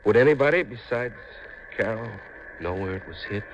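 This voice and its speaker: A man's voice: mid-range voice, lively intonation, normal speed, lightly acted. An actor in a 1950s radio drama playing a haunted, grief-stricken seafaring man who talks in a brooding, insistent, folksy way. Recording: muffled, noisy 1950 radio recording.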